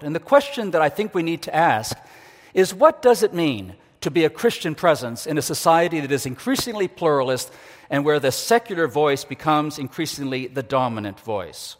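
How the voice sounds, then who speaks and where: An older man delivers a thoughtful, earnest sermon at a fairly high pitch for a man. His voice rings out with a long reverb, as if from a large church hall. His pacing is measured and reflective. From Ecuador. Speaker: guest preacher, university leader. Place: church sanctuary.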